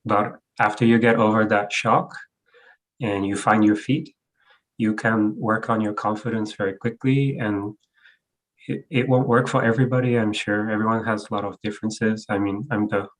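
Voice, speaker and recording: A man's voice: fairly low pitch, little pitch movement, moderate pace, low-quality recording. A European man with a hard-to-place mixed English accent, speaking candidly as a guest on an online panel. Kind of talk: casual panel discussion. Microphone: video call.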